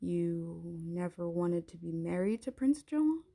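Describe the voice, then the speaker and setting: A woman playing a haughty, menacing dragon queen in an intimate ASMR roleplay, speaking in an imperious, taunting, deliberate way. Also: lowish voice, expressive, gravelly, warm tone, exaggerated voice. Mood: mocking.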